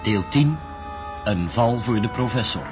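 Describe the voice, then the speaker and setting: A man's voice: lowish voice, expressive, a little slow. A man announcing the title and episode of a radio drama. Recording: loud music under the voice. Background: loud music.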